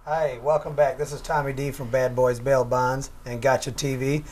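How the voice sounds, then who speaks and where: A man's voice: mid-range voice, level tone, moderate pace, a little mellow. A man hosting a local community TV talk show, introducing his crew in a loose, rambling way. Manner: easygoing, rambling with fillers. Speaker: host.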